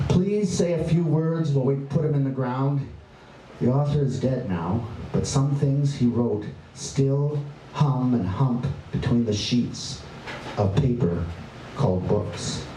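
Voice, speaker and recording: A man performing his spoken word poetry live, with a playful, wry and bawdy delivery, jumping in pitch and stretching out words. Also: slow pace, dark tone, a little hiss or hum. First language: English. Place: performance venue. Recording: echoey room, voice from far off, faint ambience.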